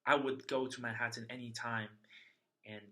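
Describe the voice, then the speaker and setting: A young man from China telling a travel story in casual chat, chatty and halting with many fillers. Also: mid-range voice, little pitch movement, sharp tone. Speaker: interviewee sharing a travel story.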